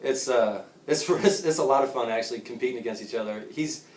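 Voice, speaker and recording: A man's voice: medium pitch, brisk, darkish tone, distant mic. A man in his thirties from Savannah answers questions casually, joking and laughing as he goes and restarting his sentences often. He sits back from the mic in a roomy, echoing space. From Savannah, Georgia. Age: 30s. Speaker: golfer.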